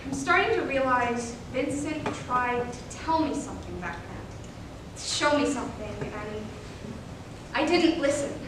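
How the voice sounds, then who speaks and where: A regretful child psychologist, played by a woman dictates notes in a staged drama. The delivery is slow, hushed and halting, with a high pitch. It is heard from a distance in a very echoey hall. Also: lively intonation, background noise. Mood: quiet regret. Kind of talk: staged readers theater.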